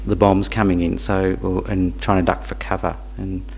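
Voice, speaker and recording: Low-voiced man giving a dharma talk. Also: level tone, creaky, darkish tone, a little hiss or hum. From Tanzania. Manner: halting, repeats words, asks the audience questions. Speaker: Buddhist teacher. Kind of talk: dharma talk to a small group.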